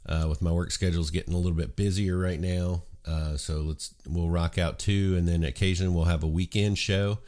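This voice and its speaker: A man hosting a casual morning chat show, a little congested from a cold, talking in a relaxed, rambling way full of ums and uhs. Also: low-pitched, a little fast, hardly any pauses. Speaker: host.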